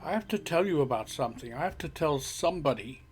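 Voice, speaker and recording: A man's voice: a little high, lively intonation, fast, soft background music, natural voice. A man reading an audio story, voicing a frightened teenage girl who insists she was abducted and pleads to be believed. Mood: upset. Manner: pleading, defensive, swearing in frustration.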